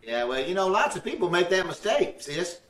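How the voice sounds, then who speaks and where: Actor as a high-voiced folksy man, echoey. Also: big pitch swings, brisk, a bit bright. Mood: patient, mildly gruff. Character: folksy, good-natured married man talking to a child. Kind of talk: old-time radio comedy acting. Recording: distant, echoey video-call sound.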